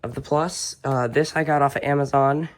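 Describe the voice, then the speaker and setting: A video host giving a casual, unscripted show-and-tell indoors in an informal, halting way. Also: medium pitch, fairly even intonation. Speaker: video host and weather radio collector. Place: indoors, in a room with shelves of radios.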